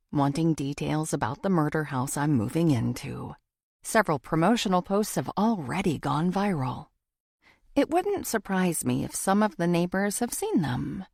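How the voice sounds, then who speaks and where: A woman's voice: low-pitched, vocal fry, a little mellow, no room sound, clean studio sound. A woman narrating a first-person thriller audiobook from a script.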